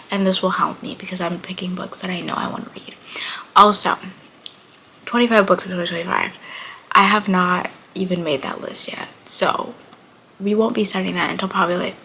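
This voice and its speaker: Young woman book vlogger, 19, getting over being sick; gravelly fry, chatty and rambling, slips into murmurs. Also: lowish voice, sharp tone, a little hiss or hum, rough recording. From Buffalo, New York. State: recovering from illness, voice slightly off. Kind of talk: casual chat to camera.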